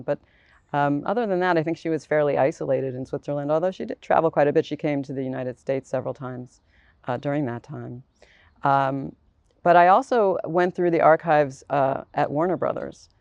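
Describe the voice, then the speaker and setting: Clear, smooth low-voiced woman, reflective. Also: darkish tone, no room sound, muffled recording. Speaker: author, biographer guest. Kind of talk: thoughtful panel discussion.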